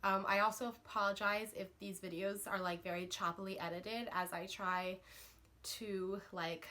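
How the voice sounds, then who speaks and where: A woman's voice: mid-range voice, steady tone, normal speed, bright, forceful tone. A woman book vlogger getting over a cold, chatting to camera in a rambling way with lots of fillers and restarts. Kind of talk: casual chat to camera.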